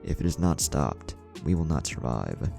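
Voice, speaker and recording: Man reading grave, deep-voiced old village elder. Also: very animated, vocal fry, mellow, faint music behind, full character voice. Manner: formal, measured wording. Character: polite, grave elderly male village leader. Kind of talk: storybook character reading.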